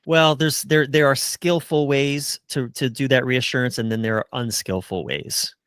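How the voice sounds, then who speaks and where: A man's voice: mid-range voice, steady tone, normal speed, a bit bright, smooth, no echo, clean studio sound. A middle-aged man giving earnest, casual answers as a relationship coach in a conversational interview. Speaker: relationship coach, podcast guest.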